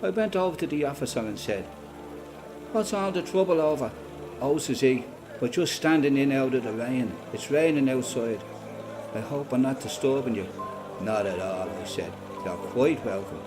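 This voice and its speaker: Actor as older Dublin father, lively-toned storyteller. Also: mid-range voice, normal speed, over music, close to an everyday voice. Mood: matter-of-fact reminiscing. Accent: Irish, Dublin. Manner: folksy, colloquial storyteller. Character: older Dublin father, plain-spoken veteran freedom fighter. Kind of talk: radio play acting, conversation and reminiscence.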